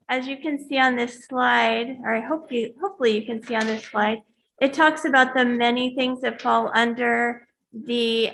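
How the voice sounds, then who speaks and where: Woman, teacher on assignment, hesitant presentation, slightly high voice, monotone. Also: a little hiss or hum. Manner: hesitant, many ums and restarts. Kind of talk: board meeting presentation.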